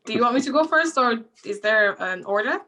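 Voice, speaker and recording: A woman's voice: fairly high pitch, animated, a little fast, pushed and bright. A woman from Nigeria speaking as a panel guest, emphatic and repeating words for stress. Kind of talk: discussion.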